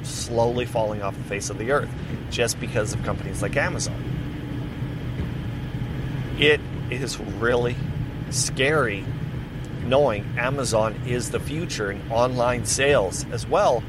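A man's voice: mid-range voice, measured pace, long pauses. A man hosting a casual solo podcast, rambling and correcting himself as he chats. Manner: rambling, self-correcting, chatty. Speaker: podcast host. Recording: steady background noise.

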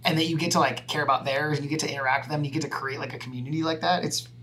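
A man's voice: on the high side, steady tone, very fast, a bit bright, slight background noise. A man in his early thirties hosting a podcast, easygoing and casual, swearing now and then. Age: 30s. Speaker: podcast host.

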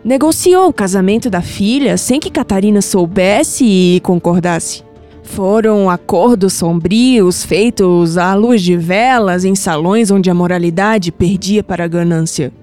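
An actress playing a wise, mystical old Brazilian grandmother in an audio drama, solemn, affectionate and measured. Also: mid-range voice, mellow, natural voice. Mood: solemn, grave. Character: tender, wise, mystical old grandmother revealing family secrets. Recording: music bed under the voice.

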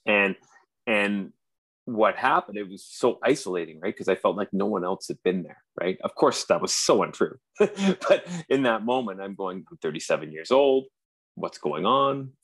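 A man's voice: lowish voice, very animated, fairly bright tone, breathy edge, no echo. A man in his forties with a Canadian accent, talking earnestly in a casual conversation and often restarting his sentences. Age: 40s. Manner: earnest, halting with frequent restarts. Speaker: podcast host.

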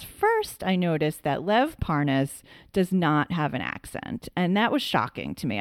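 A woman co-host talks through political news in a casual, colloquial style. Her voice sits a little low, and she sounds emphatic and incredulous. The sound is close and dry. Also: no echo. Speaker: podcast co-host.

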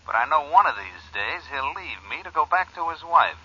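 An actor playing a sly, menacing blackmailer on a phone call, in 1940s radio style on a muffled old recording. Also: male voice, a little high, sing-song, moderate pace, sharp tone, slightly rough, heavily acted. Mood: taunting, menacing. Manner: sneering, insinuating.

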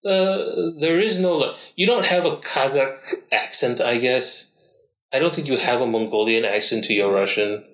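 A man's voice: mid-range voice, moderate pace, a bit bright. Talkative podcast co-host, male, conversational explainer, roomy recording. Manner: chatty, explains at length with frequent fillers. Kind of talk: casual chat. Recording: echoey room.

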